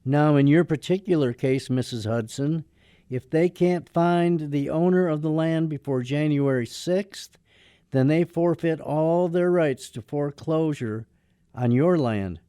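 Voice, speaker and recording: An actor playing a brusque, sardonic attorney with a soft heart in a radio drama. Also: male voice, on the high side, unhurried, clean tone, dry recording, studio quality, lightly acted. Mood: earnest, persuasive.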